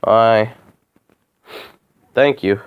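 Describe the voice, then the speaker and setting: A man making a complaint by phone, talking in a halting, rambling way and repeating himself. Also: on the low side, clean tone. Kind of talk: phone call, informal account. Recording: muffled phone call recording.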